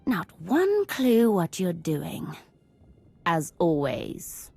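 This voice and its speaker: A woman's voice: lowish voice, huge pitch swings, very slow, gravelly, mellow, slightly rough, no echo, soft background music, heavily acted. An actress playing a bitter, powerful young woman in a video game, sardonic and contemptuous toward her family. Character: bitter, sarcastic young adult daughter, cruel and powerful. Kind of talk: video game character acting.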